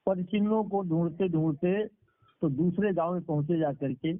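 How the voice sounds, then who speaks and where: Higher-voiced male preacher on a phone line. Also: normal speed. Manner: repeats phrases for emphasis. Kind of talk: devotional sermon with moral storytelling. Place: speaking over a conference call. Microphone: telephone. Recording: tinny phone conference-call line.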